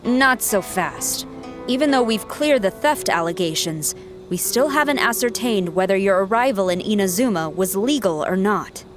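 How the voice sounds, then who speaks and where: An actress playing a stern, dutiful military commander, formal and authoritative, in a video game. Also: female voice, mid-range voice, animated, moderate pace, natural voice. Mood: stern, businesslike. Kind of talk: game dialogue. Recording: clear music behind the voice.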